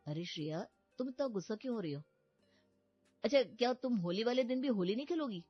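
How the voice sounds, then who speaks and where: A woman's voice: a little high, animated, fairly quick, lo-fi sound, soft background music, pitched above the performer's own voice. A woman voicing a playful young husband who loves pranks, talking in a jokey, teasing way.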